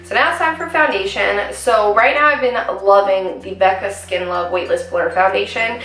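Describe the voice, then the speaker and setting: A young woman with a slightly raspy voice talks her way through a makeup routine, rambling and filling the pauses with ums. She sits a little back from the camera mic in a small home room, so her voice carries a roomy echo, with faint music underneath. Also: medium pitch, a bit bright, far from the mic. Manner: chatty, rambling with many ums. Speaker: beauty vlogger. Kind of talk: casual makeup tutorial.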